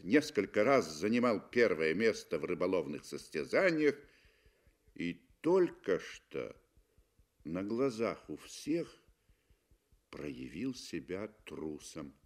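A man narrates literary fiction in the manner of 1960s Soviet radio. His voice is low and his delivery slow. He shapes phrases expressively, with deliberate pauses. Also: darkish tone.

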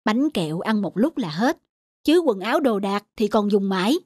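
A man's voice: high-pitched, very animated, normal speed, no room sound, clean studio sound. A man voicing a generous, easygoing young husband in a cartoon family drama. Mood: persuasive. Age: young adult.

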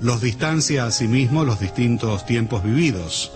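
A man with an Argentine accent gives a formal, measured, literary introduction to a radio play, reading from a script. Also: medium pitch, lively intonation. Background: music.

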